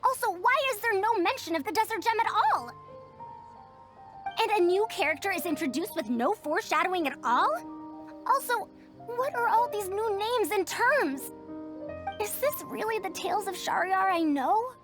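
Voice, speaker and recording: A woman's voice: very high voice, animated, normal speed, music in the background. A woman voicing a bookish, fussy scholar in a video game, speaking formally with emphatic, old-fashioned phrasing. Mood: indignant, dismayed. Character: bookish, fussy young-sounding woman scholar, indignant about her beloved books.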